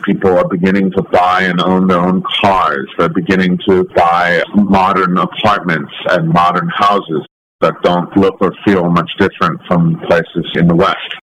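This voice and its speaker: Deep-voiced American-born man, measured and articulate. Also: a bit bright, slight background noise, rough recording. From United States. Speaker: interview guest, documentary photographer. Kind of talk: thoughtful interview answers.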